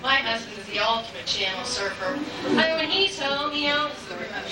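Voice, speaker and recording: A woman's voice: a little high, a little fast, very noisy, natural voice. A woman playing a perky, teasing co-host in scripted musical comedy banter, recorded from afar in a very echoey hall. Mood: mock exasperated. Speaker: stage performer and co-presenter. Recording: very echoey hall, distant mic, loud music.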